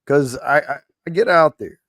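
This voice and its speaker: Hoarse man hosting a casual livestream. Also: on the high side, expressive, moderate pace, a bit bright, no room sound, clean studio sound. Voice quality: rough, strained voice. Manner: rambling, folksy, holding back volume. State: hoarse, worn out after allergy attack. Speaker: host of a whiskey giveaway livestream.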